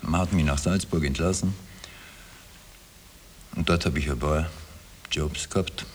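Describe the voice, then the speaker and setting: A man's voice: extremely low pitch, steady tone, measured pace, a little hiss or hum. An actor in a 1960s radio drama playing an earnest, self-justifying Austrian man, weary and wistful, with an Austrian accent. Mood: pleading, explaining. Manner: earnest, confessional.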